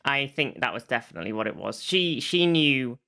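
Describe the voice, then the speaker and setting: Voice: mid-range voice, animated, normal speed, pushed and bright, clear, resonant tone. A podcast host recapping a show in casual conversation, feeling for words along the way.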